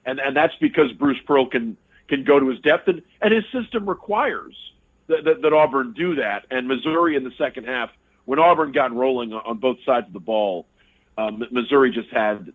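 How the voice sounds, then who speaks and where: A man breaks down a game in casual sports talk, speaking quickly and often repeating words as he works through a thought. His voice comes through a narrow, phone-like line. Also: medium pitch. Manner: fast, repeats words while thinking. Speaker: radio sports broadcaster, guest.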